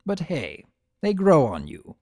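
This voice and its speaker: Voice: mid-range voice, huge pitch swings, takes it slowly, a little mellow, no room sound, voice pushed up, heavily acted. A narrator as a wry, sardonic chaos spirit. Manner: dry, conversational asides.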